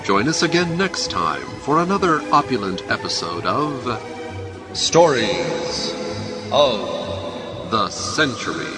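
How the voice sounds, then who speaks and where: A man speaking in a deliberate, melodramatic classic radio serial style. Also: medium pitch, big pitch swings, over loud music.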